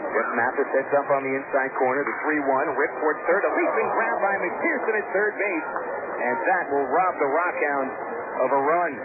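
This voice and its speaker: A man calling baseball play-by-play on the radio in a measured way, mixing pitch calls with player stats. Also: animated. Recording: tinny, narrow-band radio broadcast with loud noise.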